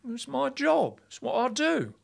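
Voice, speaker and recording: A woman's voice: sits high, huge pitch swings, vocal fry, exaggerated voice. A woman acting a fussy, easily flustered night-watch town crier in a comic audio play, prim and indignant. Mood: puzzled, protesting. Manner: prim, indignant, calls out the hour in drawn-out cries.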